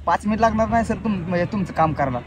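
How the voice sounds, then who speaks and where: A young man speaks. His delivery is casual and confrontational, insistent and repetitive, with mock politeness. He is recorded outdoors with clearly audible street and vehicle noise behind him. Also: sits high, expressive, very fast. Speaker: prank caller and video host. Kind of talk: prank phone call, casual confrontational chat.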